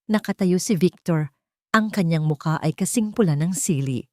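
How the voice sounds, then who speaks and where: A Filipino woman narrates a dramatic love story in a slightly low voice. The recording is dry and close, with the delivery of a scripted audio drama. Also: normal speed, warm tone, dry recording.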